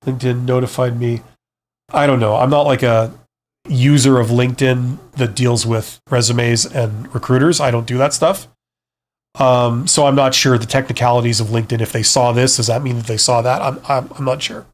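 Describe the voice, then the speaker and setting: A man's voice: mid-range voice, fairly even intonation, normal speed. A man hosting a casual live stream, giving frank, conversational advice and often checking in with the listener as he goes. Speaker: live stream host, career advisor and former CEO.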